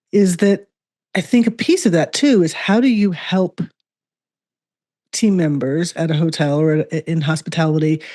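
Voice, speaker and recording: A woman's voice: fairly low pitch, mellow. A woman hosting a conversational interview, affirming and reflective, building her thoughts into questions. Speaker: interview host.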